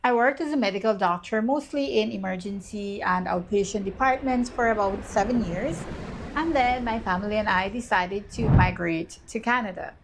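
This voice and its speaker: A woman's voice: medium pitch, normal speed, a little hiss or hum, low-quality recording. A woman, telling her own story in an earnest, candid and reflective scripted vlog narration. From Guyana. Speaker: vlogger.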